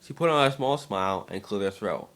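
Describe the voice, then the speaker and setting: A man narrating fan fiction as a dramatic reading, slightly halting and stumbling over words now and then. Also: lowish voice, lively intonation, a bit bright.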